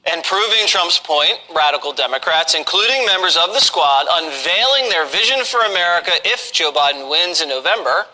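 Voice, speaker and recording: Bright-voiced male news anchor. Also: fairly high pitch, lively intonation, moderate pace, few pauses, low-quality recording. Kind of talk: cable news broadcast.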